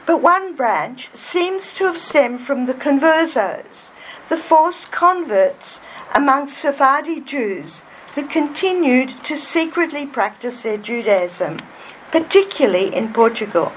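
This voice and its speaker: Soft-voiced woman lecturing slowly. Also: sits high, lively intonation, slight background noise, low-quality recording. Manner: measured, deliberate, scholarly. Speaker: guest speaker, author. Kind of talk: public lecture. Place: hall before an audience.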